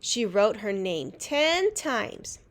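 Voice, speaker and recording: A woman reading a children's storybook aloud in an expressive, deliberate teacher's way. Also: medium pitch. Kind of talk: animated storybook read-aloud.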